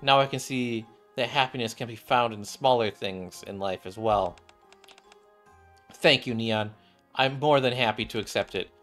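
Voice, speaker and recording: A man's voice: mid-range voice, moderate pace, fairly bright tone. A man in his thirties streaming a game and reading its dialogue aloud in a room at home. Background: game music, keyboard typing.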